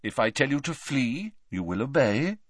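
A man voicing a grave, courteous old wizard headmaster in an audiobook, measured, formal and authoritative. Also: medium pitch, sing-song, husky, no room sound, clean studio sound, voice pushed up. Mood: grave, commanding. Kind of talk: dramatised audiobook reading.

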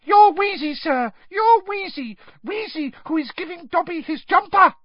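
A narrator voicing a small male house-elf servant, squeaky, earnest and deferential, in an audiobook style. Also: animated, measured pace, no echo, highs cut off. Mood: eager. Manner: speaks of himself in third person, deferential, broken grammar. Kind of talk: audiobook character voice.

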